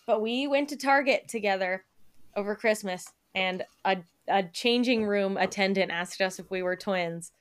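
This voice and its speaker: A woman's voice: mid-range voice, moderate pace, clean tone. A young woman co-hosting a podcast, chatting casually with many fillers and frequent laughs. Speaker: podcast co-host and editor. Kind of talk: casual chat.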